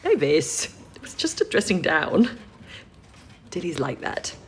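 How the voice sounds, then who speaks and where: A woman playing a sensible, educated wartime codebreaker in a period radio drama, speaking in a measured, reassuring way. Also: low voice, big pitch swings, husky, exaggerated voice. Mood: sober, reassuring. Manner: measured, explanatory, composed.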